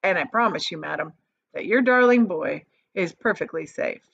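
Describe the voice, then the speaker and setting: A woman's voice: fairly low pitch, expressive, normal speed, gravelly, fairly bright tone, no room sound, lo-fi sound, full character voice. A woman reading a storybook and voicing an eccentric male chocolate maker, airily dismissive, theatrical and flippantly soothing.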